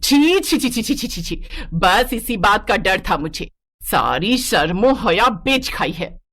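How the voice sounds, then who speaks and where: A woman's voice: mid-range voice, big pitch swings, slow pace, a bit bright, no echo, exaggerated voice. A woman playing a nagging, old-fashioned older mother-in-law in a moral story cartoon, indignant and exclaiming. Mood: angry.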